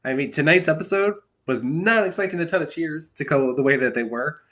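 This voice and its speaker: A man's voice: fairly high pitch, fairly quick. Congested young man recapping reality TV. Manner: rambling, animated, exasperated asides. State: congested from a cold. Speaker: podcast host. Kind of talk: solo recap commentary.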